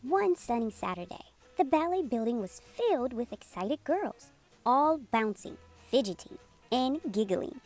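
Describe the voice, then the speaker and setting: A woman reading a children's picture book aloud as a storytime host, in an expressive, unhurried storytelling manner. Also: on the high side. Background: music playing under the voice.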